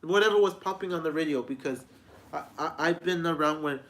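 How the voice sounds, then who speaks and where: Halting young man with a highish voice. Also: a bit bright, low-quality recording. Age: 20s. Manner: halting, repetitive, frequently restarting sentences. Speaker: music reviewer. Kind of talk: rambling personal vlog talk.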